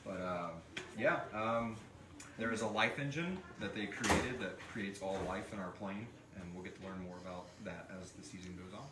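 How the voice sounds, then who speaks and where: A man's voice: fairly low pitch, moderate pace, heavy background noise. A man hosting a casual live question-and-answer chat, joking and sometimes hesitant as he explains. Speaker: show creator hosting a live audience question session. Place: live stream in a room with several people. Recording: roomy, distant mic with group chatter.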